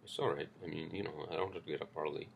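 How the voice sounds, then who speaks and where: A man's voice: a bass voice, steady tone, very fast, vocal fry, slight background noise. A man hosting a casual solo podcast, rambling loosely with lots of fillers, restarts and swearing, speaking English with an accent. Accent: non-native English accent. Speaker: podcast host.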